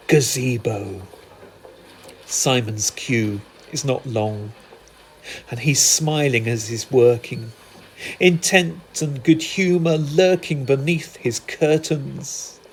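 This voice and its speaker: A man performing narrative poetry in a theatrical, deliberate manner with dramatic pauses and emphatic repetition. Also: fairly high pitch, husky, poor audio. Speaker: spoken-word poet.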